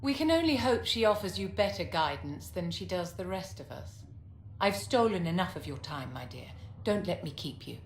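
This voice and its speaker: A woman's voice: fairly low pitch, big pitch swings, moderate pace. An actress playing a proud, coolly condescending middle-aged female court mage in a video game, speaking with poised, imperious authority. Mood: sardonic, imperious. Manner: poised, imperious, lecturing, addresses listener as my dear. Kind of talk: video game dialogue acting. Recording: roomy echo with clear background noise.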